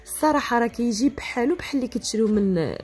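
A woman's voice: on the high side, lively intonation, rapid-fire, darkish tone, husky. A young woman with a Moroccan Arabic accent hosting a cooking tutorial, chatty and practical, talking straight to viewers. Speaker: cooking channel host.

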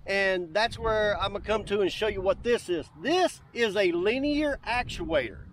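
A man's voice: high voice, animated, moderate pace, a bit bright, a little hiss or hum. A middle-aged man giving an enthusiastic, folksy, casual walk-through as a video host, speaking outdoors. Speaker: YouTube host demonstrating DIY kayak builds. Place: outdoors on open grass by water.

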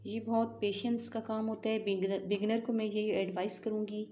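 Home cooking host, woman, mellow voice, patient step-by-step instruction, echoey kitchen. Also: mid-range voice, little pitch movement, normal speed, a little hiss or hum. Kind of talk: home cooking tutorial voice-over.

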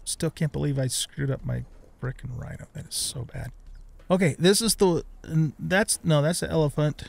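A man's voice: fairly high pitch, normal speed, creaky. A middle-aged man giving casual let's play commentary on a video game, thinking aloud and muttering to himself.

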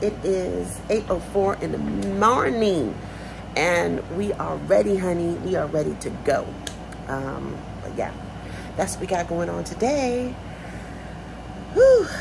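A woman chats to her vlog viewers, calling them y'all, on a phone. Her pitch is middling. The street and car noise behind her is clearly heard. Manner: chatty, addresses viewers as y'all, many fillers. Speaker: vlogger. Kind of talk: casual vlog chat to camera.

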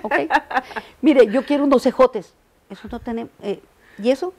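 An older woman from Mexico with a Mexican accent softened by Costa Rican usage, a guest in an interview, telling stories and acting out little dialogues with frequent restarts. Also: fairly high pitch, moderate pace. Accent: Mexican Spanish softened by Costa Rican usage. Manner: animated storyteller, acts out dialogue, frequent restarts. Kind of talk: reminiscing conversation. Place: studio set styled as living room, indoors.

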